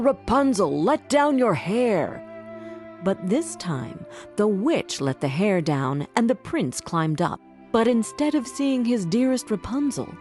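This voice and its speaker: A woman storyteller giving scripted fairy-tale narration for children in an expressive, deliberate way. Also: mid-range voice.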